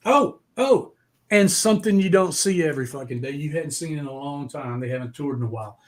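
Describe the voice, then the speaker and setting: Male live-show host, slightly high voice, slangy and excitable, swears freely in loose chat. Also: brisk. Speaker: music show host and band member.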